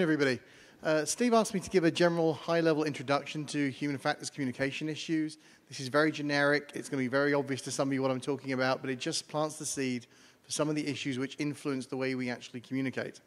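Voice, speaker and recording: A man with a British accent giving a brisk conference talk from slides, explaining to an audience. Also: fairly high pitch. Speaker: panel speaker on air traffic communication. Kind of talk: conference presentation. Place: large conference hall. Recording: long hall reverb.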